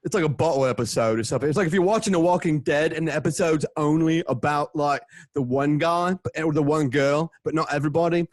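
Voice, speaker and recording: A tipsy man joking loudly among a cast in casual banter from home. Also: quick pace, hardly any pauses, no echo. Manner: loud, joking, tipsy, swings pitch wildly. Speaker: cast member. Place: home, over a video call.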